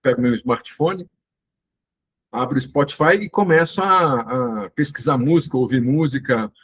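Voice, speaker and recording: Husky Brazilian man reminiscing casually. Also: medium pitch, unhurried, fairly bright tone. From Brazil, interior of São Paulo state. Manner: rambling, with many fillers and restarts. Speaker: channel host.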